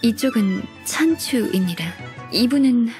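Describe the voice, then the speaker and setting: A gentle, dutiful young secretary from a video game. Her voice sits fairly high and soft, with modest, courteous, formal phrasing. Light music plays underneath. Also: animated, a little slow. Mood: polite, earnest. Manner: polite, soft-spoken, formal. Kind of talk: Korean video game voice acting.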